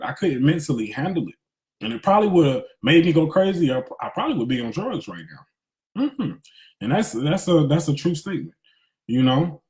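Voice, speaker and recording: A man's voice: a little high, a little mellow. A man in his thirties giving a casual, emphatic spiritual pep talk in candid slangy speech, repeating phrases for emphasis. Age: 30s. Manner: conversational street-smart slang, candid. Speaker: motivational speaker. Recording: echoey, roomy sound.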